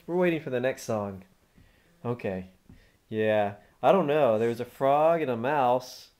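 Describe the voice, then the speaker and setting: A man's voice: mid-range voice, expressive, measured pace, darkish tone. A man, a father, chatting casually with children in a playful, encouraging way and prompting them with questions. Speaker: father watching his child's puppet show. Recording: amateur home video sound.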